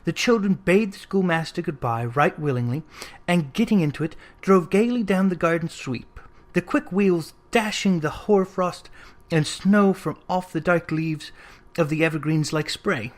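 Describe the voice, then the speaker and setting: A narrator reading literary fiction aloud in an expressive, theatrical storytelling style. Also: medium pitch, normal speed. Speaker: audiobook narrator.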